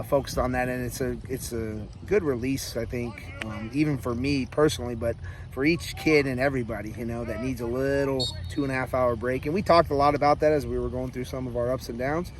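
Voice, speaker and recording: A man of about forty, a high school coach, giving casual interview answers. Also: steady tone, a little fast. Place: outdoors by a sports field. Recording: outdoor field recording with background voices.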